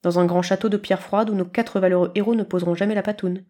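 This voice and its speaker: Female podfic narrator, medium-pitched voice, expressive scripted storytelling. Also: quick pace.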